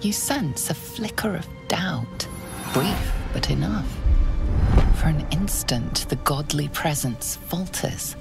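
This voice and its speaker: An actress as the solemn narrator of a fantasy video game, measured and dramatic. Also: female voice, low-pitched, lively intonation, vocal fry, exaggerated voice. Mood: ominous. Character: female storyteller narrator, solemn and ominous. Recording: loud music behind the voice.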